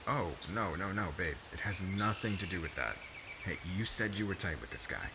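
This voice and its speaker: A man's voice: sits low, expressive, moderate pace, background noise. A man playing a laid-back, devoted young warrior husband in an ASMR romance roleplay, talking in casual slang. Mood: hesitant, evasive. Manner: casual slangy talk, stammers when flustered.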